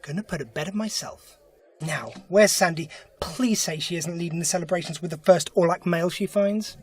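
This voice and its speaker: A man's voice: a little high, normal speed, a little mellow, lightly acted. A man playing a kindly, earnest alien time traveller in an audio drama. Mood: light, wry. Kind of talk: fan audio drama acting.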